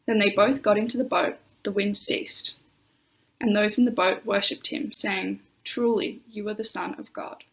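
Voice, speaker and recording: A woman reading scripture aloud in a measured, solemn way during a church service. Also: medium pitch, fairly even intonation, vocal fry, low-quality recording. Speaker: scripture reader.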